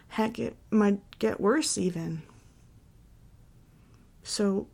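A woman playing a tender, devoted girlfriend and mommy dom in an intimate ASMR roleplay, breathy and often whispering as she soothes her partner. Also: fairly low pitch, full character voice. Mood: soothing, sincere. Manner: soft, soothing, slow and affectionate.

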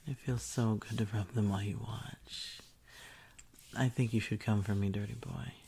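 A woman doing an intimate whispered audio roleplay, teasing and commanding in a breathy whisper close to the mic. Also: rumbling low, fairly even intonation, moderate pace, mellow, slight background noise.

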